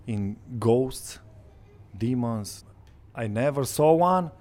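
A man with a Romanian accent chatting casually in an interview, in simple, halting non-native English. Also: mid-range voice, expressive, mellow. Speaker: waiter acting as interpreter. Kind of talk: spontaneous casual interview talk.

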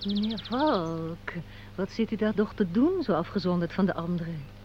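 An actress playing a patient, prim receptionist who gently chides as if to a child in a radio play. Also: female voice, mid-range voice, expressive, normal speed, noisy background, close to an everyday voice. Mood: patient, chiding. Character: patient, prim female receptionist coaxing an absent-minded colleague. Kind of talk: Dutch radio play acting. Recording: dull lo-fi recording, crowd behind.

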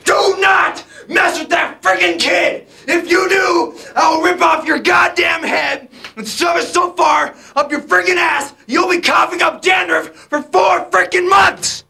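A man yells aggressive threats at a very high pitch. His shouting is harsh and gravelly, drawn out slowly. It sounds like an amateur recording in an echoey space with others present. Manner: screaming, aggressive. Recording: echoey room with crowd noise.

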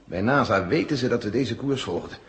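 An actor playing a steady, practical general in a 1970s radio drama, plain-spoken and matter-of-fact. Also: male voice, on the low side, brisk.

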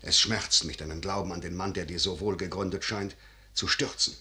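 A man's voice: low-pitched, steady tone, brisk, pushed and bright. An actor playing a grave, calculating older father and general in a classical radio verse drama, with solemn, emphatic declamation. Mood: earnest, persuading.

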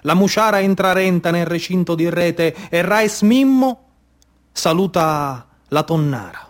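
High-voiced Sicilian storyteller, a man. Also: measured pace. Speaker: stage storyteller. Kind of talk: dramatic scripted narrative monologue.

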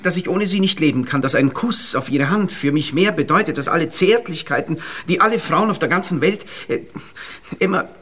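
An actor playing an ardent, nervous young Viennese gentleman in a radio drama, pleading effusively and haltingly with an Austrian accent. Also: fairly high pitch. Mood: fervent pleading. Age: young adult.

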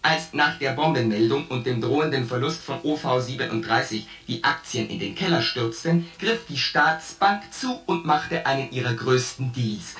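An actor playing a formal onboard computer co-pilot in a science fiction radio play, speaking in stilted machine phrases. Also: male voice, medium pitch, a bit bright, echoey room, distant mic, close to an everyday voice. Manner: stilted machine speech with odd stock phrases.

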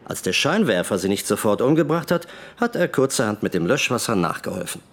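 An actor playing a matter-of-fact police detective who lays out a case in a naturalistic radio drama. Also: male voice, lowish voice, sing-song, a little fast, fairly bright tone, full character voice. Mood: sober, certain.

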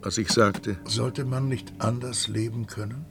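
An actor playing an anxious, brooding storyteller who talks to himself in a literary radio drama. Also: male voice, medium pitch, fairly even intonation, measured pace, lightly acted. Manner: introspective, talking to himself. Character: anxious, brooding first-person male storyteller. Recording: music behind the voice.

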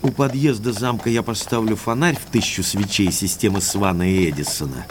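An actor playing an energetic young baronet in an audio drama, speaking in a brisk, expressive way. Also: male voice, fairly low pitch, noisy background, natural voice. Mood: resolute. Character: energetic young baronet, heir to a gloomy castle.

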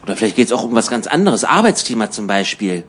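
A man's voice: fairly low pitch, sing-song, fast talker, slightly rough. A man in conversational radio banter, asking probing questions in a dry way.

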